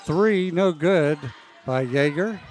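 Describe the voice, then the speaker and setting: A man calling a women's college basketball game play-by-play, losing his voice and clearing his throat now and then. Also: a little high. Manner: steady, measured calls that rise on big plays. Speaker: play-by-play announcer.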